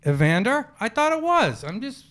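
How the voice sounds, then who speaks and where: A man's voice: extremely high pitch, big pitch swings, moderate pace, fairly bright tone. A man in his forties hosting a sports podcast, chatting casually in an opinionated, slangy, storytelling way. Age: 40s. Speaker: podcast host.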